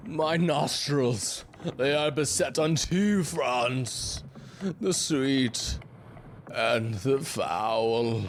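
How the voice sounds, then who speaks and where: An actor playing a pompous, fussy first mate of an old sailing ship in a theatrical audio drama, with florid archaic phrasing. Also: male voice, medium pitch, very slow, a little hiss or hum. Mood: offended, commanding.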